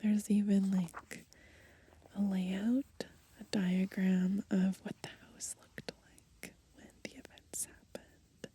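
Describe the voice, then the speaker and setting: A woman softly murmuring ASMR commentary as she browses a book, breathy and gentle, outdoors. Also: medium pitch, little pitch movement, takes it slowly. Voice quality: breathy, whispery. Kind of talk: ASMR, soft murmured commentary and title reading. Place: outdoors on grass.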